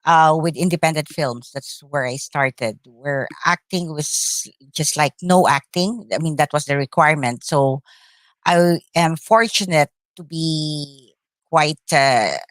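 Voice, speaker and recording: A middle-aged woman with a Filipino accent answers questions in an interview. Her voice sits low and sounds dry and close. She speaks haltingly, pausing often to find her words. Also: no room sound. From Philippines. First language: Tagalog. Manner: thoughtful, hesitant with many uhs. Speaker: actress, interview guest.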